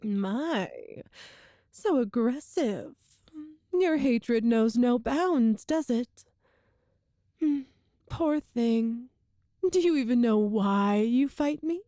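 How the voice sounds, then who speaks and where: A woman playing a cruel, seductive empress in a dramatic ASMR roleplay, with haughty, theatrical, deliberate phrasing. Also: on the high side, expressive, warm tone, no room sound, studio quality. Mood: taunting, coaxing. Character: cruel imperious empress, seductive, menacing tyrant.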